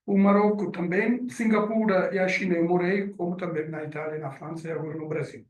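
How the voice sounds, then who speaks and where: A man's voice: high voice, fairly even intonation, brisk. A middle-aged man from Italy, with an accent mixing Italian and French, giving a hesitant business presentation with many fillers. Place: meeting room. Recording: roomy sound from a distant mic.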